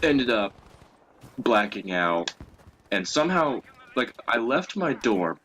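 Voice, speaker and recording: A man's voice: medium pitch, sing-song, slow pace, fairly bright tone, a little hiss or hum. A young man chatting casually and telling stories as a co-host, in a crude, profanity-laden way. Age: young adult. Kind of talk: casual chat, storytelling.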